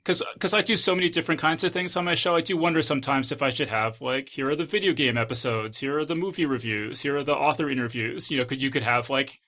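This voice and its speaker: A man's voice: mid-range voice, fast, hardly any pauses, bright, forceful tone. A man hosting a podcast interview in a casual, conversational style. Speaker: podcast host.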